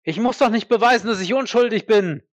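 A man's voice: sits high, no echo, lightly acted. A man playing a proud, defiant accused war veteran in an audio drama, indignant and emphatic. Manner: indignant, emphatic, halting when pressed. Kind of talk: dramatic audio play acting.

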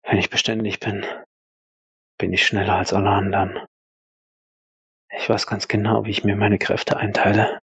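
A man playing an exhausted but dogged young soldier in elite training, muttering halting, determined self-talk in an audio role-play. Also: lowish voice, flat delivery, a bit bright, slightly rough, no echo, full character voice. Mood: grim, determined.

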